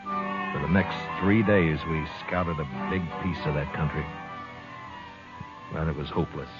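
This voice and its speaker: An actor playing a weary, steady frontier marshal in a 1950s radio western, laconic and hard-boiled. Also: male voice, very deep voice, unhurried. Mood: grim, weary. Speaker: lead actor and narrator. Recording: muffled old radio recording with music.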